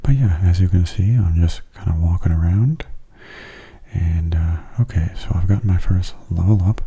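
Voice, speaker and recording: A man giving soft-spoken ASMR gameplay commentary in a hushed, breathy, unhurried and casual way, close to the microphone. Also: dull audio. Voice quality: breathy, husky low voice. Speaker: gaming ASMR video host. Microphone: close mono microphone.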